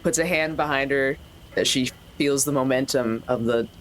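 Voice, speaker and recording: A woman's voice: rumbling low, moderate pace, faint music behind, poor audio. A woman playing a tabletop roleplaying game, casually and hesitantly thinking her moves through aloud.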